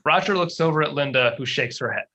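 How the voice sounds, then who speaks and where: A man narrates a script's scene headings and actions. His voice is bright and forceful, sitting slightly high for a man. The delivery is scripted narration. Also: fast talker. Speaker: stage-direction reader.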